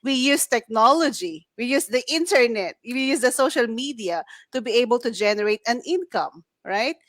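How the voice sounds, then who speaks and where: A woman chatting casually who laughs easily and keeps tagging her points with quick questions. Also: fairly high pitch, expressive, fairly bright tone, dry recording, clean studio sound. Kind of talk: casual conversation.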